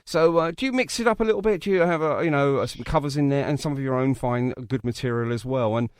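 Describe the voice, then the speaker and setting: A man's voice: medium pitch, fast, clear, resonant tone, no echo, studio quality. A man hosting a casual radio-style interview, effusive and encouraging with his guest. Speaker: interview host.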